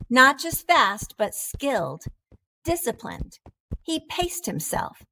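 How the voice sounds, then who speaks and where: Casting: female reader as a proud talking cheese narrating a storybook, high-pitched, theatrical, unhurried. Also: creaky, slight background noise, exaggerated voice, rough recording. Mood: dramatic recounting. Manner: theatrical, expressive, deliberate delivery. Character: proud, dramatic cheddar wheel learning humility, storybook narrator. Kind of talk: animated children's storybook read-aloud.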